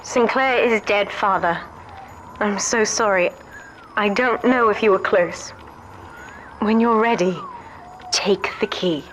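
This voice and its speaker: A woman's voice: medium pitch, slow, long pauses, background noise, lightly acted. A woman playing a devoted, determined young daughter guiding her father in a video game, earnest and articulate. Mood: solemn. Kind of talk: dramatic video game voice acting.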